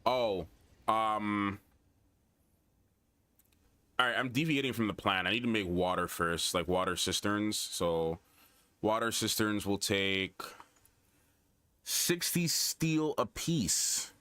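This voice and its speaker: A man's voice: fairly low pitch, slow pace, long pauses, pushed and bright, smooth, no echo. A young man with a Guyanese American accent, chatting casually and thinking aloud while he plays a game. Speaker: gaming streamer. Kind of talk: casual gameplay commentary.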